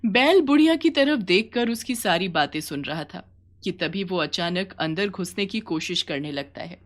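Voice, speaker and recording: Lively woman narrating a children's story. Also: on the low side, moderate pace.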